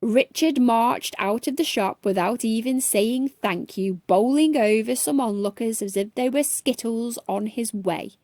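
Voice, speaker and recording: A woman's voice: fairly high pitch, unhurried, few pauses, a little mellow, no room sound, studio quality. A woman narrating a children's bedtime story expressively, as a storyteller reading aloud.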